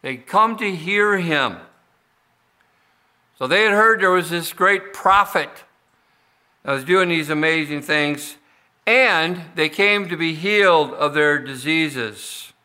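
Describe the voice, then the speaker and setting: A male pastor delivering an expository sermon at an unhurried, deliberate pace. His voice sits a little high for a man and rings bright and clear. He often backs up and restarts phrases as he works through the text. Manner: slow, deliberate expository preaching with frequent restarts.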